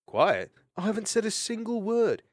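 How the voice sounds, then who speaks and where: A man's voice: sits high, very animated, moderate pace, dry recording, studio quality, voice pushed up. A man voicing a teasing, easygoing young male mouse warrior. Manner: teasing and jovial.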